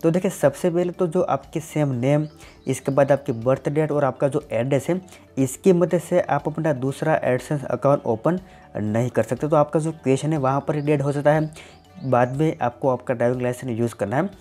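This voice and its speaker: A man, answers viewer questions on his tech channel in a plain, explanatory way, leaning on "definitely" and "simply". His voice is warm and mellow. Faint music plays underneath. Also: medium pitch, normal speed. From Gujarat, India. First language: Gujarati.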